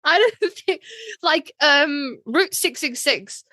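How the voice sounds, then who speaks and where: A woman's voice: high voice, expressive, slow, bright, forceful tone, dry recording. A woman co-hosting a podcast, giving blunt, sweary opinions in casual fan chat. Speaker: podcast co-host.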